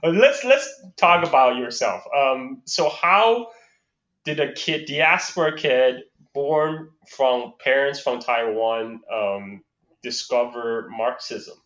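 Chinese-accented podcast host, roomy sound. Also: male voice, mid-range voice, a bit bright. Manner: halting, with fillers and self-corrections. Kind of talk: casual interview. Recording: roomy and slightly dull.

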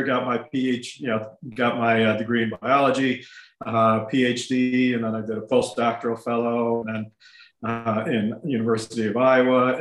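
An older American man whose first language is Ukrainian, chatting as a podcast guest, halting with many uh's and small chuckles. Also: mid-range voice. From United States. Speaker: podcast guest, doctor and lawyer. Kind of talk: casual interview conversation. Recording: echoey room.

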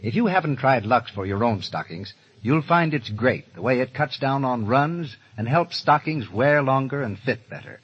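Husky, animated 1930s radio ad announcer, man. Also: medium pitch, moderate pace, few pauses. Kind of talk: 1930s radio advertising pitch. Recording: muffled 1930s radio recording.

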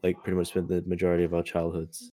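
A teenage boy, co-hosting a casual chat in a steady, thoughtful way and asking follow-up questions. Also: low-pitched, fast talker, no room sound. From New Zealand. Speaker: co-host.

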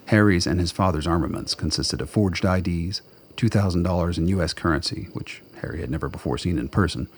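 Very deep, husky male narrator. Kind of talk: scripted dramatic story narration.

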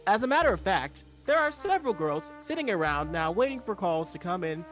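A man puts on a grand, playful announcer from 1940s radio drama. His voice is high and swings widely through theatrical, drawn-out phrases, with music behind it. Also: big pitch swings.

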